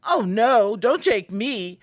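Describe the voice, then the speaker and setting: Middle billy goat, male, earnest storybook pleader, pitched up, dry sound female narrator acting. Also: sing-song, slow, dry recording, full character voice. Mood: pleading. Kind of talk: storybook character voice.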